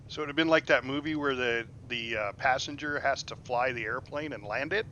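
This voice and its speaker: A man's voice: medium pitch, fairly quick, bright, forceful tone, dull audio, slight background noise. A man streaming a space game, chatting casually with his chat, joking and laughing often. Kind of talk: casual live gameplay commentary.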